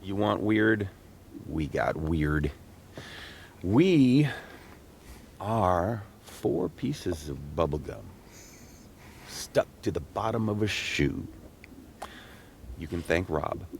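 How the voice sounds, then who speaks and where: A man giving a comedic introduction in a very deliberate delivery. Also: low-pitched, sing-song, vocal fry, a little hiss or hum.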